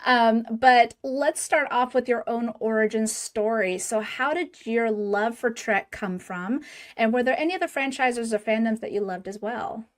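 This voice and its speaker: A woman's voice: a little high, measured pace. Giggly enthusiastic woman hosting a podcast. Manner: enthusiastic, giggly, filler-heavy. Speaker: podcast host. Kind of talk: casual interview.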